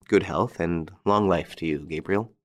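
A man narrating an audiobook and voicing a calm, mocking gentleman thief facing death. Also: low-pitched, a little slow. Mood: dry calm. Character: wry, unflappable gentleman thief, male adult.

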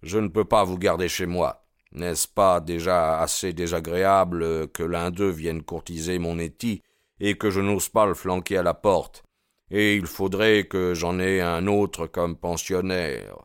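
A man's voice: deep voice, unhurried, smooth, dry recording, studio quality. A man voicing a stern, wary landlord in an audiobook, blunt and aggrieved in his way of talking. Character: stern, wary landlord, father of a grown daughter. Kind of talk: audiobook character dialogue.

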